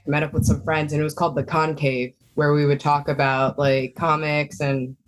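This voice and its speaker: A woman's voice: low voice, little pitch movement, moderate pace, low-quality recording. Woman guest on a podcast, chatty and upbeat, lots of likes and ums. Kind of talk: casual interview chat.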